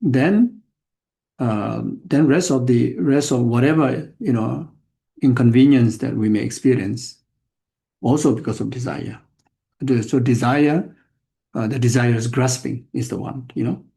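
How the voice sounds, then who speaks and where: An older man teaching the dharma to an audience. He speaks in halting fragments, often repeating words and restarting phrases, in a plain, reflective and self-mocking way. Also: medium pitch, creaky, dark tone. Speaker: Buddhist teacher.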